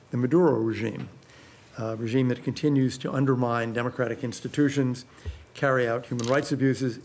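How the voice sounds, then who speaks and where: A man giving a formal press statement as a government envoy, deliberate and pausing between phrases. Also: medium pitch.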